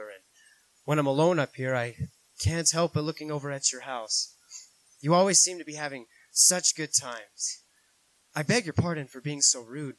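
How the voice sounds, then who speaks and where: A lonely young man, played by a man, speaks hesitantly and gently, in a wistful 1940s radio play manner. His voice sits a bit high, and the sound is dry and close. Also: no echo, natural voice, low-quality recording.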